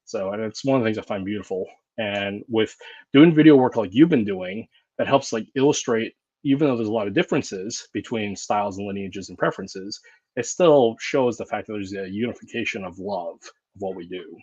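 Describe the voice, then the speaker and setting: A man's voice: lowish voice, moderate pace, a little mellow, husky, dry recording. A man hosting a podcast in casual conversation, rambling and reflective, with many fillers and restarts. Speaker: podcast host. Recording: dull, dry lo-fi sound.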